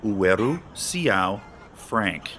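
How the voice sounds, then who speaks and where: An alien customer in an audio comedy. The voice is husky and swooping, laying out an invented tongue slowly and insistently. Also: male voice, lowish voice, big pitch swings, a bit bright, full character voice. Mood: earnest, insistent. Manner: slow, deliberate invented-language speech. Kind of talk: scripted audio comedy acting.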